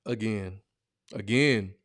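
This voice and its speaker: A man's voice: lowish voice, a bit bright, no room sound, clean studio sound. A man hosting a podcast, reacting to online videos in casual, slangy, blunt and joking commentary full of exclamations. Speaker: podcast host.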